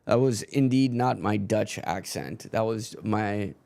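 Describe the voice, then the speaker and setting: A man's voice: on the low side, unhurried, creaky, darkish tone, no echo, studio quality. A man streaming a live football match and ranting frankly about his team's manager, swearing freely in casual chat.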